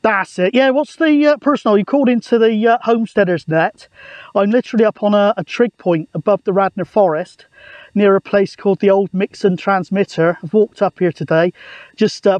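A man's voice: high-pitched, a little mellow, no room sound, lo-fi sound. A British man chatting casually and matily outdoors, as a radio hobbyist making a video. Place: outdoors on an open hilltop.

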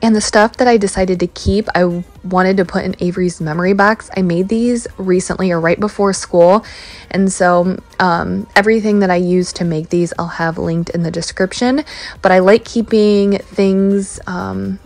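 A woman's voice: mid-range voice, moderate pace, soft background music. A woman in her thirties narrating a home cleaning vlog in a casual, chatty way, with many fillers. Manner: chatty, relaxed, with many fillers like "um" and "you know". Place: home kitchen.

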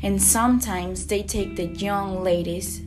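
Student from Costa Rica, reads her script slowly, guitar bed under her. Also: medium pitch, steady tone. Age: young adult. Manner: slow, earnest reading. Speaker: student podcaster. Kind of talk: scripted reading.

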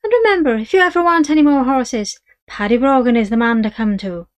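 A woman voicing a smooth-talking Irish male horse dealer with wheedling sales patter. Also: on the high side, fairly quick, voice pushed up, lightly acted. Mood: coaxing.

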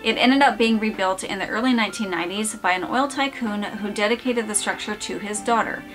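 A woman's voice: medium pitch, fairly quick, fairly bright tone, rough recording. A woman in her forties hosting a lighthouse channel, reading a script in an upbeat, enthusiastic way, framed head and shoulders. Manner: upbeat, enthusiastic descriptive narration. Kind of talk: scripted narration. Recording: clear background music.

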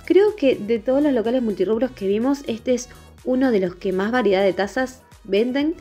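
A woman's voice: a little high, moderate pace, mellow, slightly rough. A woman narrating a shopping vlog in voice-over. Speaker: vlogger. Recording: dull lo-fi voice-over with music. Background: background music.

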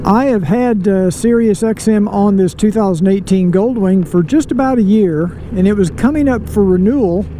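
A man's voice: sits high, moderate pace, hardly any pauses, mellow. Chatty man telling a story from a moving motorcycle. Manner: folksy, rambling storytelling with asides. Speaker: motorcycle vlogger. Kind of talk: casual riding vlog monologue. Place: outdoors riding a motorcycle on city roads. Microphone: helmet mic, helmet-mounted camera. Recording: road and wind noise from riding.

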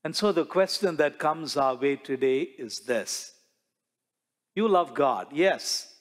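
Slow-preaching priest in a reverberant church. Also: male voice, a little high, expressive. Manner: slow, measured preaching with rhetorical questions and gestures. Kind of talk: sermon. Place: large church sanctuary before the altar.

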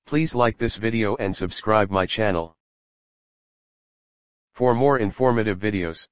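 A man with a lowish voice reads a software tutorial voice-over in short, clipped commands, one step at a time. His sentences are plain and simple in grammar. The recording is dry and close, with no room sound. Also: unhurried, no echo.

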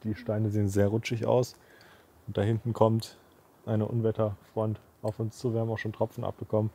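A man's voice: on the low side, completely flat delivery, brisk, mellow, breathy edge. A man in his twenties talking casually and slangily in a hiking vlog, outdoors with a camera. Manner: colloquial, slangy. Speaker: travel vlogger. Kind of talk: casual chat while hiking. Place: outdoors on a mountain trail. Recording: outdoor camera audio with ambient noise.

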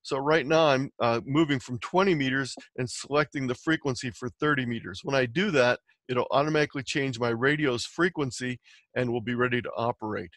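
Male presenter for a software tutorial. Mid-pitched, methodical explainer, close dry recording. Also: no room sound. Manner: explanatory, step by step. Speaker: presenter teaching amateur radio software. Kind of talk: instructional slide presentation.

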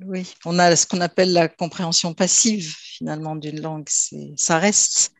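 A woman host with a gravelly, creaky voice, asking curious questions in a casual, conversational way. The sound is dry, with no room echo, and comes over a video call. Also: fairly low pitch, steady tone, normal speed. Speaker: interview host.

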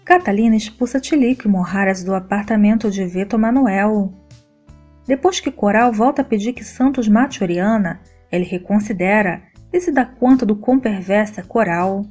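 A woman narrating a scripted soap opera episode recap in a steady, even read-aloud delivery. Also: mid-range voice.

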